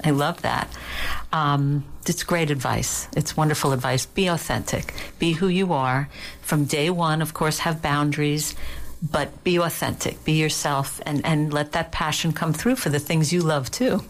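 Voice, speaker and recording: Low-voiced woman interviewer. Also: unhurried, a little hiss or hum. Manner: warmly affirming, asks layered questions. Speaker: interviewer, host. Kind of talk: interview conversation.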